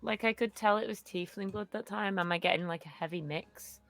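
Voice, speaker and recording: A woman's voice: mid-range voice, a little fast, creaky, a bit bright. A woman chatting casually as a player in a tabletop role-playing game.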